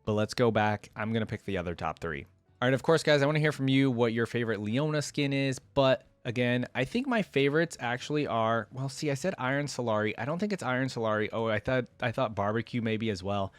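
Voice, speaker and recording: A man's voice: medium pitch, brisk, dry recording, faint music behind. A man giving casual, unscripted reaction commentary as a video host, thinking aloud informally with frequent exclamations.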